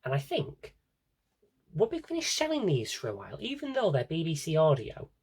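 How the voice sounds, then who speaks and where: A man's voice: on the high side, wildly swooping pitch, moderate pace, darkish tone, breathy edge. A man giving a casual, rambling show-and-tell to viewers, chatty and enthusiastic, drifting into asides to himself. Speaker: video host showing a personal CD collection.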